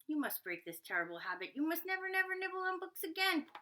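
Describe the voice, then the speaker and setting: A woman's voice: extremely high pitch, very animated, quick pace, pushed and bright, voice pushed up, full character voice. A woman voicing a sensible young girl in a children's storybook, firm and instructive. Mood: scolding.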